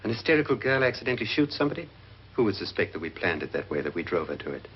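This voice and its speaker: An actor playing a self-assured murder plotter in a 1950s horror film, calm and conspiratorial. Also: male voice, on the low side, rapid-fire. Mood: smug, conspiratorial. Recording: dull, noisy old film soundtrack.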